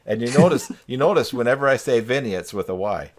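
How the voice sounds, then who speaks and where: A man's voice: medium pitch, lively intonation, fast. A man hosting a live stream in casual, teasing chat on a video call. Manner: joking, teasing.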